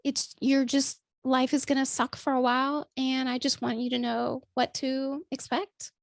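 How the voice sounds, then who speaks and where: High, gravelly-voiced woman vlogger. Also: flat delivery, a little slow, no echo, studio quality. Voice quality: naturally high voice. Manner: chatty, many ums, calls listeners folks. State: voice still a little strained after illness. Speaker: vlogger and writer. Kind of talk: casual talk to camera.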